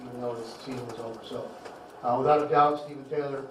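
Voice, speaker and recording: A man who coaches high school football, giving a heartfelt banquet speech, halting and repeating words as emotion catches him. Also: steady tone. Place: banquet hall. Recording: echoey hall, distant mic, faint noise.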